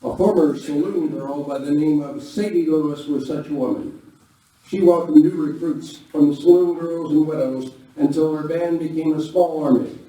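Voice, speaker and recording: A man's voice: a little high, moderate pace, dark tone. A man hosting and narrating a live Western radio play in front of an audience. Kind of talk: stage hosting and dramatic scripted narration. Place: live performance in a village venue. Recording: very echoey room, distant mic, noisy.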